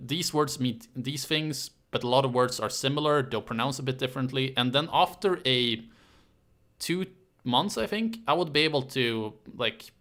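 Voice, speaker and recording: A man chats casually on a live stream, rambling and halting as he answers viewers. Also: mid-range voice, a bit bright. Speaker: YouTube history creator hosting a live stream.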